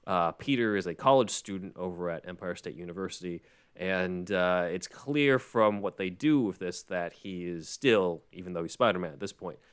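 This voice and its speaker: A man's voice: low-pitched, moderate pace, smooth. A man hosting a podcast, explaining and recapping in a conversational way with rambling asides. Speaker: podcast host.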